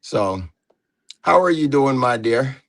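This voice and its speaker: A man hosting a casual livestream chat, easygoing and quick to laugh as he puts questions to his guests. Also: medium pitch, expressive, a bit bright. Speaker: livestream host.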